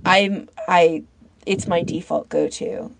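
A woman's voice: on the low side, huge pitch swings, takes it slowly, dry recording. A woman co-hosting a podcast, talking in a casual conversational discussion. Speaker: podcast host.

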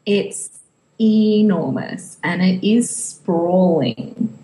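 A woman's voice: on the low side, drawn-out words, dark tone, soft background music. A woman co-hosting a casual fan podcast, chatting playfully with some self-deprecation. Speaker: podcast co-host. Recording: echoey, roomy sound.

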